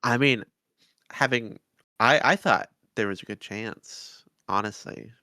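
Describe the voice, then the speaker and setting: A man co-hosting a podcast in casual discussion, speaking in measured, halting fragments. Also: on the low side, bright, forceful tone, dry recording, clean studio sound. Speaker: podcast co-host.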